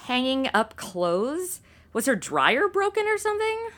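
A woman's voice: high-pitched, huge pitch swings, measured pace, fairly bright tone, voice pushed up, heavily acted. A woman voicing a brash modern young woman stuck in the 1950s, in a comedy radio play, with a theatrical voice that swoops all over. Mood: snarky.

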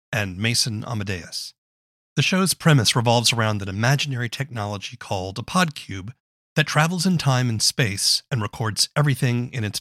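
A man's voice: medium pitch, fairly bright tone, no echo, studio quality. A man working as interviewer and narrator, reading a scripted radio feature.

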